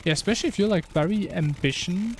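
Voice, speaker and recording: A man's voice: sits high, quick pace, a little hiss or hum. A man streaming a video game, chatting casually and musing in a rambling way. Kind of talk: casual chat while gaming.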